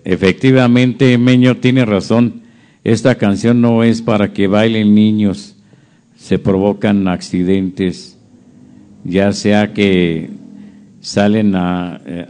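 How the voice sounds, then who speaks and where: A man's voice: lowish voice, mellow, clear, resonant tone. A man hosting a live stream, chatting casually as he reads out viewer comments and reacts to them. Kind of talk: casual chat.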